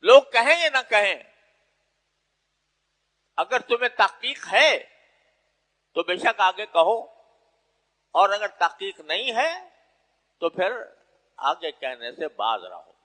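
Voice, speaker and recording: A man's voice: sits high, very animated, pauses often, pushed and bright. A man preaching an Islamic moral sermon in a didactic, emphatic way, repeating phrases for stress. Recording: long hall reverb.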